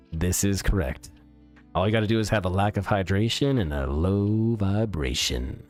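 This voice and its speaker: A man's voice: sits low, normal speed, soft background music. Chatty young man streaming his game work. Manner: chatty, exclaims at mistakes, restarts often. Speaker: game developer streaming live. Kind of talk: casual live-stream chat while working. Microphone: studio mic with pop filter.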